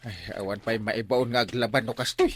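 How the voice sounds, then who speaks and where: An actor with an Ilocano accent playing a complaining, hesitant henpecked husband in a radio comedy drama. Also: male voice, medium pitch, steady tone, brisk, slight background noise, close to an everyday voice. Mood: exasperated. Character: grumbling henpecked husband, a village captain doing laundry.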